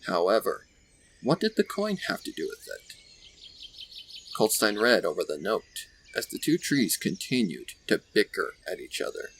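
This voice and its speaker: A man reading scripted story narration with deliberate, dramatic phrasing. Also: on the low side, huge pitch swings, creaky, fairly bright tone. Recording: faint background noise with birdsong.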